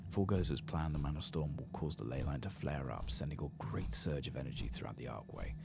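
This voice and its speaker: Very deep, gravelly soft-spoken man. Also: animated, fairly quick, over music. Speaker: gaming streamer. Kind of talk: soft-spoken ASMR game playthrough.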